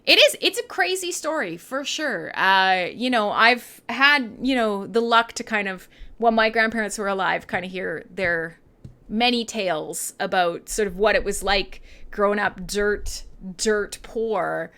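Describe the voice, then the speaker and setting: A woman's voice: mid-range voice, a little slow, bright, forceful tone. A woman with a Canadian accent hosting a radio show in a casual, irreverent, sweary and playful chatting style. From western Canada. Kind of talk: casual live chat between music tracks.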